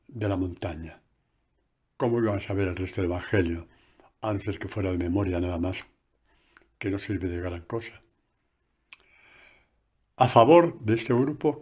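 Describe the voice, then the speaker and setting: A male priest delivers a chatty sermon straight into a phone, his voice slightly low and husky. He moves between warm asides and emphatic preaching, talking to his listeners as a familiar group. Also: normal speed, long pauses. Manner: conversational, animated preaching with asides. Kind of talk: sermon catechesis.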